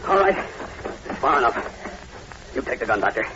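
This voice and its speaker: A man's voice: medium pitch, very animated, normal speed, close to an everyday voice. An actor playing a fearless, commanding man hunting the undead, curt and urgent in an old-time radio horror drama. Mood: urgent, determined. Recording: muffled, hissy old radio recording.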